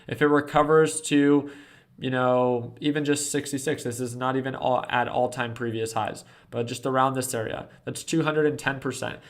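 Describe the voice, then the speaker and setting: A man's voice: medium pitch, fairly even intonation, moderate pace. A man in his twenties hosting a casual trading livestream, earnestly coaching viewers from a desk at home. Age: 20s. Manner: earnest coaching, reading chat aloud. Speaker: stock trading livestream host.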